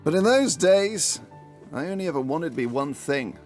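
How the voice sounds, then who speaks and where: An actor playing an eccentric detective inspector in a comic audio drama, pompous and given to sentimental storytelling. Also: male voice, fairly high pitch, lively intonation, normal speed, darkish tone, faint music behind, close to an everyday voice. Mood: wistful reminiscing.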